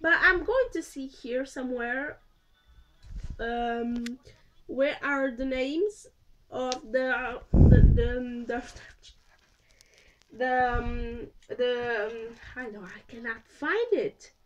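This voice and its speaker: A woman's voice: a little high, slow, dull audio, slight background noise. A young woman from Portugal with a Portuguese accent, chatting casually about movies she watched, with many fillers and restarts. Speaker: vlogger. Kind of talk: casual movie review vlog.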